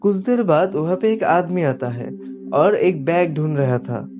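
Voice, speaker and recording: A man reading scripted narration for an animated moral story. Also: fairly high pitch, unhurried. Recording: muffled audio with background music.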